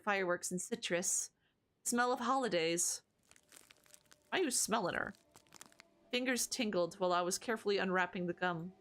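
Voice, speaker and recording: A young woman vtuber playing a horror story game, reading its text aloud and reacting in a chatty, expressive way. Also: medium pitch. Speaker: vtuber streamer. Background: faint game music.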